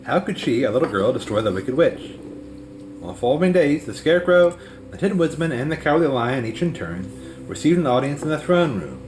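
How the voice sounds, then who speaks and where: A man reading a children's story aloud as scripted narration, in an expressive way. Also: mid-range voice, a little fast, background noise. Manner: expressive read-aloud delivery. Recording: music behind the voice.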